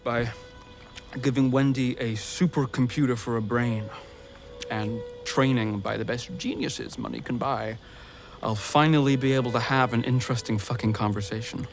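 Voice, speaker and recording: An actor playing an arrogant young genius tycoon in a screen drama, smug and dismissive. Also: male voice, medium pitch, steady tone, moderate pace, gravelly, music in the background, natural voice.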